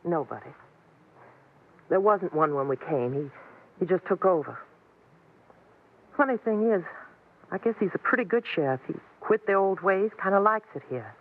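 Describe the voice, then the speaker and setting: A woman's voice: on the low side, lively intonation, moderate pace, long pauses, narrow, tinny audio. An actress playing a lonesome, plain-spoken American frontier woman in a 1950s radio western, on an old broadcast recording. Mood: resigned, bitter. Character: weary, lonesome frontier woman with a troubled past.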